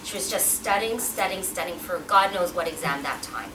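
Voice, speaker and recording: A woman's voice: lowish voice, normal speed. Woman speaking at a podium in an echoey hall. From Pakistan. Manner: chatty, engaging the audience with questions. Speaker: guest speaker. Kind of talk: personal talk at a podium. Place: event hall with a backdrop, indoors. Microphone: podium mic, filmed from a distance. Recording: roomy, distant, noisy hall sound.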